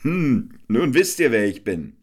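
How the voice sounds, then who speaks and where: A man's voice: very animated, measured pace, slightly rough. A man voicing a hungry, cheeky male jester who teases and whines in sing-song rhymes. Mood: teasing. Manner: high, whiny, sing-song rhyming.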